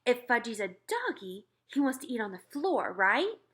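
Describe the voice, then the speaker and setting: A woman's voice: on the high side, big pitch swings, normal speed, fairly bright tone, breathy edge, voice pushed up, exaggerated voice. A woman voicing a worried mother in a storybook, coaxing and insistent. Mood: eager. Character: worried, pleading mother of young boys.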